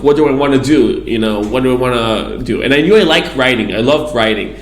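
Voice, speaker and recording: Rambling man in an echoey room. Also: mid-range voice. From Israel. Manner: rambling, storytelling with many asides. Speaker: guest, PR agency founder. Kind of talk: casual interview answer.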